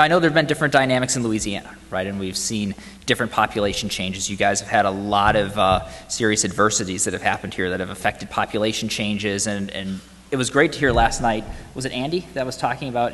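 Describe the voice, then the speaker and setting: A man's voice: lowish voice, fast, fairly bright tone. Man with a faded Boston accent in a hall. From Boston area, US. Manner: conversational, asks the audience questions, says "right?" often. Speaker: conference speaker. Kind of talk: conference presentation. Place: large conference hall.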